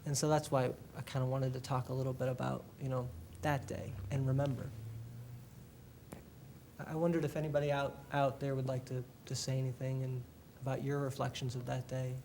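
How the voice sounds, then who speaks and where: A man from a theatre company, speaking reflectively to an audience, with many restarts and halting phrases. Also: mid-range voice, flat delivery, gravelly, darkish tone, slight background noise. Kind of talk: casual talk to an audience. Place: public library meeting room.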